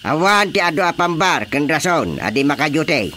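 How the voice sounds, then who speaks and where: A man's voice: fairly high pitch, moderate pace. A man acting an authoritative, orderly village chairman in an Ilocano radio drama, emphatic and official, with an Ilocano accent. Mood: stern, official. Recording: steady background noise.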